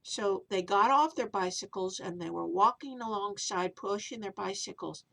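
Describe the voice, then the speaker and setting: A woman's voice: mid-range voice, moderate pace, vocal fry, fairly bright tone. A woman storyteller narrating for young children in simple, repetitive phrases, with little explanatory asides.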